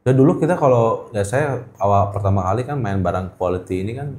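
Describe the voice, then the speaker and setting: Middle-aged Jakarta man, relaxed dark voice, colloquial podcast guest who repeats himself for emphasis, roomy echo. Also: on the low side, a little fast, a little hiss or hum. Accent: Jakarta Indonesian. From Indonesia. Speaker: guest, gemstone trader. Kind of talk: casual interview chat. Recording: echoey room.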